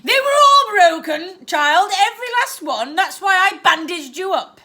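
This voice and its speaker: A woman doing a shrill, scheming cruel aunt. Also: very animated, measured pace, full character voice. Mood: theatrical menace. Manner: loud, clipped, sickly-sweet baby talk.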